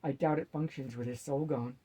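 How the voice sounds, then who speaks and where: Guy reading practical undead hero from a storybook, matter-of-fact, a touch husky. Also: mid-range voice, fairly even intonation, brisk, mellow, lightly acted.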